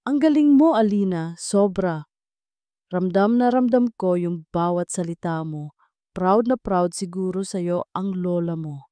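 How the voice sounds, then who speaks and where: Woman voicing a friendly, mellow young office guy. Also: fairly low pitch, unhurried, smooth, no room sound, natural voice. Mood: admiring. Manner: warm, encouraging, casual Taglish. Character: friendly, helpful young male office team leader. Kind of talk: audio drama storytelling.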